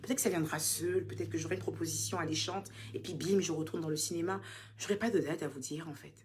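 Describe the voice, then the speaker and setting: A young woman with an Ivorian French accent, chatting casually as she reads out fan questions and answers them, teasing and affectionate, sometimes dropping to soft, quiet asides. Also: sits low, fairly even intonation, fast talker, a little hiss or hum. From Côte d'Ivoire. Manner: chatty and teasing, calls fans "ma chérie". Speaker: social media personality answering fan questions. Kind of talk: casual live Q&A reading viewer comments.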